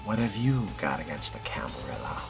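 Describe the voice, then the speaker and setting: A man role-playing a sardonic, skeptical fledgling vampire in a video game, with a dry, deliberate delivery. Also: sits low, sing-song, vocal fry. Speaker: player voicing his game character. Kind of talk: role-play dubbing of game dialogue. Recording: background music under the voice.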